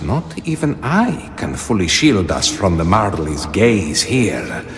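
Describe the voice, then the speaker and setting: Game role: mysterious, mannered male trickster, slow and highly animated, formal, faintly mocking, gravelly. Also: a crowd in the background, full character voice. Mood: cautioning. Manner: theatrical, formal, teasing. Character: sly, courteous, mysterious male trickster guide. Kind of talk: video game dramatic acting.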